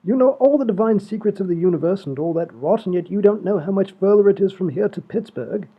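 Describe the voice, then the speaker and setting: A man playing a prim, easily flustered gentleman in a comic radio play, with fussy, old-fashioned polite phrasing. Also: high-pitched, animated, fast talker, slightly rough. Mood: impatient. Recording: tinny, phone-like sound, music behind.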